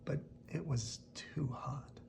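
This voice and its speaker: Bedtime storyteller, hushed, often whispering, heavy creak, unhurried slow pace, faint background noise. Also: medium pitch. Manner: keeping quiet, often dropping to a whisper. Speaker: storyteller reading a picture book aloud. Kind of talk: hushed bedtime read-aloud narration.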